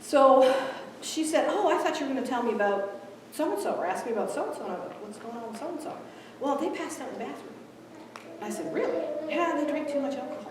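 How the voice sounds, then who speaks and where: A woman giving a live conference talk, animated and acting out quoted dialogue, who grew up in Ethiopia. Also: sits high, fairly quick, background noise. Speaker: conference speaker. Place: large echoey hall. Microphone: distant room mic. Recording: echoey hall from far off.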